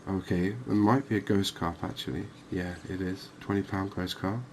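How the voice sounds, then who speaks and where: A man giving relaxed, unhurried ASMR-style commentary on a video game, musing conversationally as he goes. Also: low-pitched. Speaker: gaming video host. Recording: clearly noisy background ambience.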